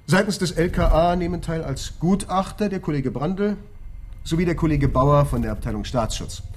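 An actor playing a formal senior police detective, brisk and officious, in a naturalistic radio crime drama. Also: male voice, a little high, lightly acted. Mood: formal, businesslike.

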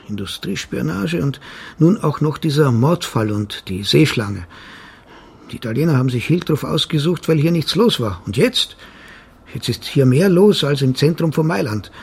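Radio crime drama: defensive factory official, very raspy and creaky, self-important, medium pitch. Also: male voice, heavily acted. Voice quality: husky, gravelly. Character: guarded, self-important male small-town factory official.

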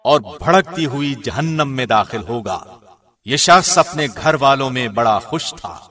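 A man's voice: medium pitch, lively intonation, sharp tone. Solemn man reading scripture, slightly distant. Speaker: translation reader, radio host.

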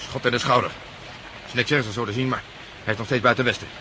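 An actor playing a businesslike police inspector who gives clipped, practical orders in a Dutch radio play. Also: male voice, mid-range voice, fairly even intonation, quick pace, natural voice. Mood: practical, concerned. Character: Connors, businesslike male police inspector taking command. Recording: clear background noise of vehicle ambience.

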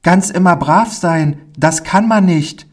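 A man plays a small, timid village boy in a storybook. The voice is pushed up high and sounds naive, anxious and eager, with a lilt and unhurried childlike phrasing. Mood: fearful, naive. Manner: anxious, naive, dialect-tinged childlike speech.

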